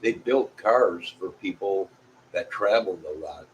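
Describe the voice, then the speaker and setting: Low-voiced Midwestern man over background noise. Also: a little slow, dull audio. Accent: American Midwestern. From Illinois, United States. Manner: folksy, meandering. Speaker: vlogger. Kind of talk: rambling casual chat to viewers.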